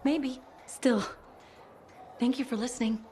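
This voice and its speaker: A woman playing a polite, hopeful fruit seller, gentle and earnest, in a video game. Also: fairly high pitch, steady tone, slow, a little hiss or hum. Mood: hopeful, grateful. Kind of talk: video game dialogue acting.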